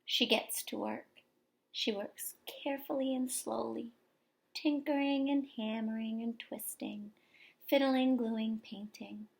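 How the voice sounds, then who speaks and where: A woman teacher reading a picture book aloud expressively to young children, pausing for explanations and questions. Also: on the high side, steady tone, vocal fry. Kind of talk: expressive children's story read-aloud with asides to listeners.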